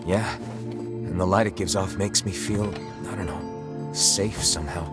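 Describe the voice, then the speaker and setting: An actor playing an earnest young adventurer in a video game, speaking casually and plainly. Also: male voice, deep voice, fairly even intonation. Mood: thoughtful. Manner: casual, understated, halting. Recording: loud music behind the voice. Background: game music.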